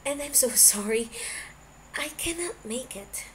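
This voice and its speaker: A woman's voice: sits high, expressive, a little slow, pitched above the performer's own voice. A woman voicing an anxious, apologetic young man who hesitantly pleads with his boyfriend in an amateur fan fiction audiobook. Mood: nervous, apologetic. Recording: noisy amateur home recording.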